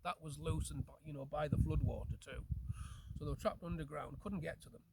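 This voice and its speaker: A man with a slightly high voice tells local folklore as a storyteller in conversation. He repeats and reworks his phrases as he goes, often seeking agreement. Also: steady tone, brisk, vocal fry, background noise. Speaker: storyteller, host.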